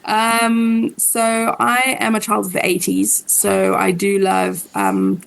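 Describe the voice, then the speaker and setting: South african lady in her forties, bit of vocal fry, chatty with lots of ums. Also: mid-range voice, slow pace, rough recording. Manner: chatty, laughs easily, many ums. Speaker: co-host. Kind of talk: casual podcast chat.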